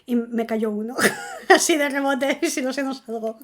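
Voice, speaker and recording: High-voiced, cheerful woman host. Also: moderate pace. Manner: cheerful, laughs often, friendly. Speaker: podcast host. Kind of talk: casual chat.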